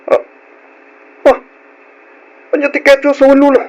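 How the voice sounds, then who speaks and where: A man playing a remorseful young grandson in a radio drama, contrite, halting and pleading. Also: very high-pitched, monotone, a little mellow, muffled recording. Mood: anxious. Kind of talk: Ilocano radio drama acting.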